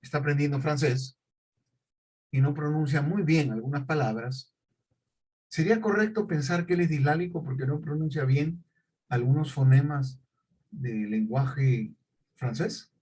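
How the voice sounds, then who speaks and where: A man's voice: a little high, unhurried, long pauses, a little mellow, husky, low-quality recording. A middle-aged male teacher, lecturing a class and often checking in with questions.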